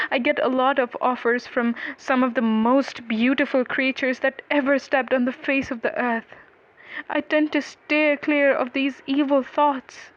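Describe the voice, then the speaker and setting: A woman narrator playing an anguished male defendant in a dark story, pleading and exasperated as he justifies himself. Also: very high-pitched, dull audio, pitched above the performer's own voice.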